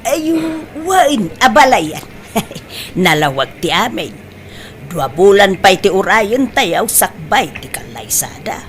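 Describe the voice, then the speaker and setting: A woman's voice: mid-range voice, sing-song, takes it slowly, slight background noise. Emphatic, swooping mother played by a woman. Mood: pleased, doting. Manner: emphatic, exclamatory, questioning. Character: doting, emphatic mother of a grown son. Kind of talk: Ilocano radio-style comedy drama acting.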